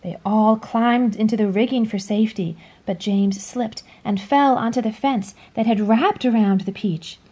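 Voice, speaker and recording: A woman reading a children's story aloud in a dramatic, deliberate storytelling manner. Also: on the high side, warm tone. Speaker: storybook reader. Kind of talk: scripted children's story read-aloud, expressive.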